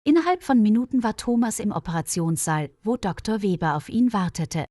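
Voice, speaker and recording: A woman narrating a scripted story in a clear, measured way for learners. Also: animated, dark tone, dry recording, clean studio sound. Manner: clear, measured reading with quoted dialogue lifted higher.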